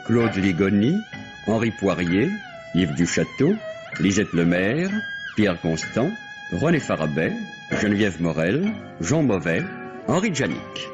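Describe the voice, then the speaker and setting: A man announcing credits in a formal, measured, declamatory old radio style. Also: sits low, big pitch swings. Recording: muffled old radio broadcast recording. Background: loud music.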